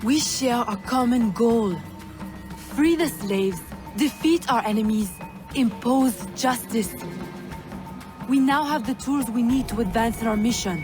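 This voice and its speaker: An actress playing a proud, defiant young woman assassin in a video game, speaking in a measured, formal and resolute way. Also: on the high side, close to an everyday voice. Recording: music under the voice.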